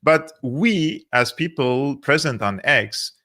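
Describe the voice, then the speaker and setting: Man from Syria, bright tone, earnest political talk, restarts and repeats words. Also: mid-range voice, animated, slow. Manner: earnest, repeats words while searching for phrasing. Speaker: political commentary host. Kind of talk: livestream political discussion.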